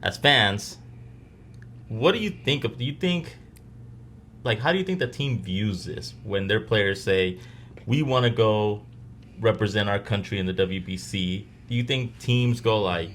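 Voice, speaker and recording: A man co-hosting a casual sports podcast, relaxed and questioning as he lays out his view. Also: lowish voice, measured pace, a little hiss or hum. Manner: relaxed, questioning, laughs easily. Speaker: podcast co-host.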